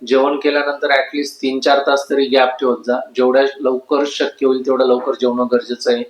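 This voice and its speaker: Guy with giving doctor advice, pretty flat pitch, roomy echo. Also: moderate pace. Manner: instructive, repeats key points. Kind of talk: informal medical explainer to camera. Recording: echoey room.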